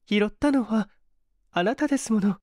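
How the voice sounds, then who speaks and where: A man's voice: sits high, moderate pace, darkish tone, no echo, studio quality, voice pushed up, natural voice. A man voicing a refined, proud, careworn young working woman in a dramatized audiobook, with reserved, ladylike politeness. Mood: demurring.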